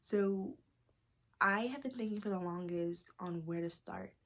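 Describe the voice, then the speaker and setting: A woman's voice: fairly low pitch, unhurried, a bit bright. A young woman from North Carolina with a Southern accent, talking casually to her camera as a vlogger, full of "like" and "you guys". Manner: chatty, many "like"s and "you guys". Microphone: camera mic.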